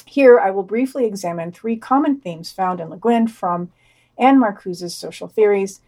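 A woman's voice: mid-range voice, moderate pace, warm tone. Woman host reading the week's news, dry and a bit sarcastic. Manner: dry, sardonic. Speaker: podcast host. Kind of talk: reading news summaries with wry asides.

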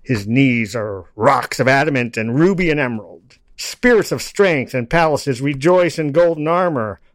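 A man speaking as a podcast guest about poetry, with a halting, digressive delivery. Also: mid-range voice, animated, dry recording. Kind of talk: reciting poetry, then informal explanatory discussion.

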